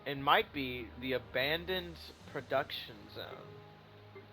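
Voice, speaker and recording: A man's voice: medium pitch, slow pace, pushed and bright, faint music behind. A man giving casual gameplay commentary as a streamer, thinking aloud in an informal, sweary way. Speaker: video game streamer.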